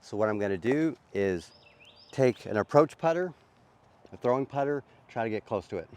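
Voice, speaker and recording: A man's voice: medium pitch, lively intonation, moderate pace, darkish tone. A man with a sore throat from a cold, chatting casually as host of a disc golf vlog on an outdoor course. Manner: easygoing, chatty, frequent uhs.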